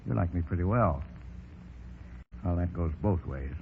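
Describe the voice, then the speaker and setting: A man's voice: deep voice, expressive, unhurried, creaky, full character voice. An actor playing a tough, proud veteran ex-policeman with a clipped, streetwise manner in 1930s radio drama style. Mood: guarded, wry. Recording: muffled, noisy 1930s radio recording.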